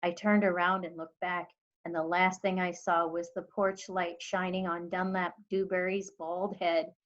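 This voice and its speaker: A woman's voice: fairly low pitch, little pitch movement, a little slow. Woman reading a story, slightly dull sound. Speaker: teacher reading aloud. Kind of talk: expressive storybook narration.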